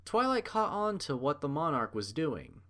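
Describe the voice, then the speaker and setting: A man narrating a fan fiction story in an animated storytelling style. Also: on the high side, normal speed.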